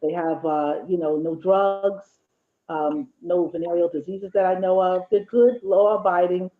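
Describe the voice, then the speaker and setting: A woman's voice: lowish voice, steady tone, unhurried. A woman in her fifties, a guest and the host's mother, chatting candidly and jokingly with reflective stretches. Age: 50s. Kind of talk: casual chat. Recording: tinny phone-like line.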